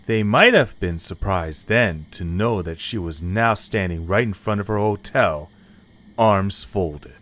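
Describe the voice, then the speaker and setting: A man's voice: on the low side, lively intonation, measured pace, a bit bright. A man narrating a scripted story as the narrator of an audio drama.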